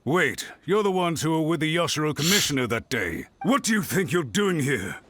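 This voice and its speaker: An actor playing an anxious clan official in a video game, formal, flustered and pleading. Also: male voice, fairly high pitch, big pitch swings, brisk, fairly bright tone, no room sound. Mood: suspicious. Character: anxious male clan official, fretful and apologetic.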